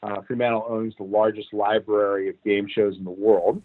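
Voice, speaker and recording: A man from Cuba, a guest in an interview, telling how his career went in an animated, casual way. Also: on the low side, moderate pace, no echo. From Cuban. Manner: animated, recounts events at length. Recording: tinny phone-like line, dry.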